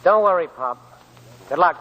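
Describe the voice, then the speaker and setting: A man's voice: high voice, expressive, normal speed, pushed and bright, lo-fi sound, exaggerated voice. An American man acting an honest, level-headed prospector in a 1940s radio drama, plain-spoken and firm. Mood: matter-of-fact. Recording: noisy old 1940s radio broadcast.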